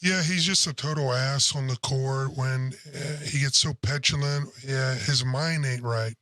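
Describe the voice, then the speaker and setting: Male co-host, deep throaty voice with a forceful edge, blunt opinions, dry booth. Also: a little slow, no room sound. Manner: blunt, opinionated, curses casually. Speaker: sports podcast co-host. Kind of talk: casual sports chat.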